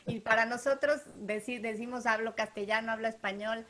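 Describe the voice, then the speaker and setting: A woman's voice: a little high, fairly even intonation, fairly quick, fairly bright tone. A Mexican woman with a Mexican Spanish accent, hosting an interview in a chatty way with many tag questions. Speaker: interview host. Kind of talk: conversational interview.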